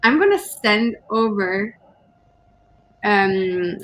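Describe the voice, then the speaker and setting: A woman whose first language is not English, chatting casually as co-host of a writing stream, often pausing and hunting for words. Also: medium pitch, lively intonation, fairly bright tone, no room sound, low-quality recording. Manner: hesitant, searching for words, giggly. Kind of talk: casual chat on a writing livestream.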